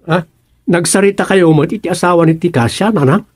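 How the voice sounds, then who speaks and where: A man's voice: high voice, a little fast, breathy edge, no room sound, clean studio sound. A man playing an easygoing, placating grown son in an Ilocano radio comedy, with an Ilocano accent. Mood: puzzled.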